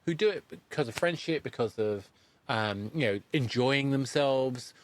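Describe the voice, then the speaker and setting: Halting man hosting a podcast interview. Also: medium pitch, animated, dry recording. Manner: halting, rephrases as he goes. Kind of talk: casual interview chat.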